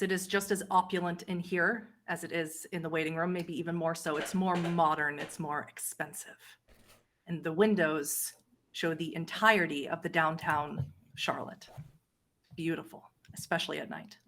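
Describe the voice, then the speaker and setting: A woman narrating a tabletop roleplay game as game master, with measured, descriptive storytelling. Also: lowish voice, fairly bright tone.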